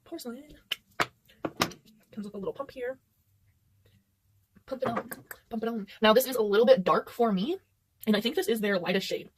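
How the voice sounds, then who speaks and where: A woman's voice: on the high side, normal speed, long pauses, slight background noise. A young woman chatting casually through a makeup tutorial, rambling and poking fun at herself, recorded indoors at night. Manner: rambling, chatty, self-deprecating. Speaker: beauty vlogger. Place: indoors under artificial light at night.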